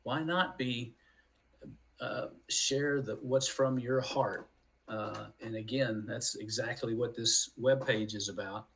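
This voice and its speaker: A middle-aged man co-hosting a faith podcast, talking casually and haltingly as he searches for words. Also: mid-range voice, fairly bright tone. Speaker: podcast co-host.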